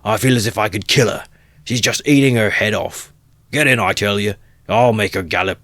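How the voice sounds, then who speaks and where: A man voicing a brutal, drunken young male peasant who bellows and bullies in a raised, much higher voice than his own narration. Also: moderate pace, dry recording, lightly acted. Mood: drunken bellowing. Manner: loud bullying shouts.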